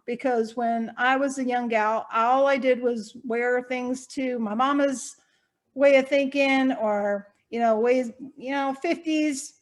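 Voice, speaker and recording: A woman's voice: fairly high pitch, lightly acted. A woman playing a spunky old woman with a country twang. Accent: light Southern country twang. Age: 70s. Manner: folksy, chatty, laughs often, rambling. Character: spunky eccentric old Hawaiian-born woman, eighty, proud. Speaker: fictional character being interviewed. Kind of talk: improvised in-character interview.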